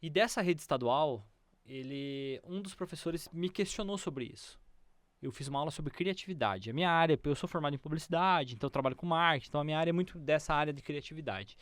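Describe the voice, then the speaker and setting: A man with a Brazilian accent hosting a conversational interview, telling anecdotes in an animated way and repeating himself for emphasis. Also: a little high, fast, dry recording. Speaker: podcast host.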